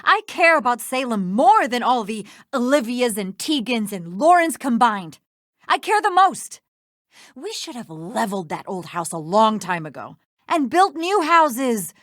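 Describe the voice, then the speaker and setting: A woman's voice: on the high side, big pitch swings, measured pace, fairly bright tone, no room sound, clean studio sound. An actress playing a bitter, sarcastic female lawyer villain in a video game, ranting with emphatic contempt. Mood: impassioned.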